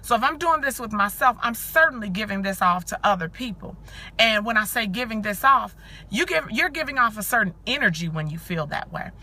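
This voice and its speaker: A woman in her forties talks to her live-stream audience with a hoarse, scratchy voice, worn down from cheering. Her tone is still bright and forward. She chats loosely and greets viewers by name as she goes. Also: fairly high pitch, expressive, a little fast. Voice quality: scratchy, growly. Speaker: live-stream host and coach for mothers. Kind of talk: casual motivational live stream.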